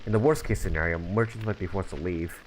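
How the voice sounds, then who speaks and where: A man voicing a calm, watchful mercenary father in a video game, grave and measured. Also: low voice, slight background noise, voice pushed down, natural voice, rough recording. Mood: grave, cautious.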